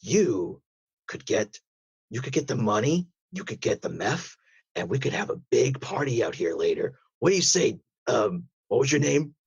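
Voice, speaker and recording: A man's voice: mid-range voice, sing-song, normal speed, creaky, slightly rough, exaggerated voice. A man playing a paranoid, chummy, laid-back stoner surfer with a drawling, conspiratorial manner in improvised comedy. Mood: chummy, salesy. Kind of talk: improvised comedy role-play.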